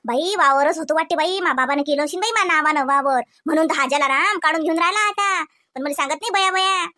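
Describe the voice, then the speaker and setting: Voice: high voice, a little fast, sharp tone, no room sound. A performer voicing a nosy, suspicious village aunt in a comedy cartoon, nagging with pointed questions in a rural Vidarbha accent. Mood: suspicious, brooding. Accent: rural Maharashtra, Vidarbha.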